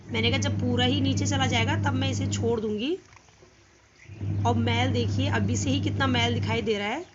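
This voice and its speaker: A young woman with a North Indian accent and a hoarse voice from a cold, casually explaining a household chore step by step for her home vlog. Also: on the high side, fairly even intonation, normal speed, lo-fi sound, heavy background noise. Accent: Hindi-speaking North Indian. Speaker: home vlogger. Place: home, by a washing machine outdoors.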